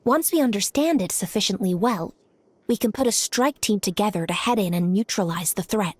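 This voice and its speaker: A woman playing a composed, dutiful female military commander in a video game, speaking in a measured, formal, businesslike way. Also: medium pitch, expressive, slightly rough, no room sound. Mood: earnest, measured. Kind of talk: scripted video game voice acting.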